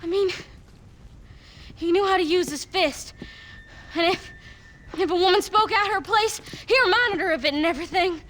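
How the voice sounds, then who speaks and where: A naive frontier girl with a very high, bright voice and a Southern American drawl. She rambles and repeats herself in a shaken, plaintive way, as in a dramatic game cutscene, over faint background noise. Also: normal speed, pauses often. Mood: defensive, plaintive.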